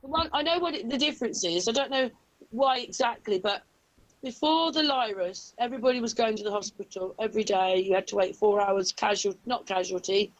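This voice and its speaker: A woman's voice: on the high side, fairly quick, poor audio. A woman hosting a casual discussion on an online call. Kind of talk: casual chat. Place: indoors on an online video call.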